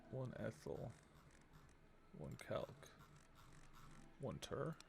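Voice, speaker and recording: A man's voice: mid-range voice, very animated, extremely slow, gravelly, background noise. A man streaming a video game, giving casual live commentary as he thinks aloud and mutters to himself.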